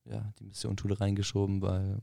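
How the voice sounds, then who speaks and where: A young man in his mid-twenties, from southern Germany, speaks in a low, gravelly voice with very little melody. His warm, dark tone stays close and dry on the mic. He talks hesitantly and chuckles often. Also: completely flat delivery, a little fast, no echo. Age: 20s. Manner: hesitant, chuckling, plain-spoken. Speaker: mission school student, guest. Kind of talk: casual podcast conversation.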